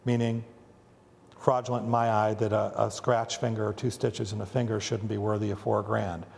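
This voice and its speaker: A man from the Chicago area, a factory owner, talking deliberately in an interview with frequent ums and uhs. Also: lowish voice, little pitch movement, creaky, darkish tone, long reverb tail. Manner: deliberate, with many fillers. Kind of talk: interview soundbite.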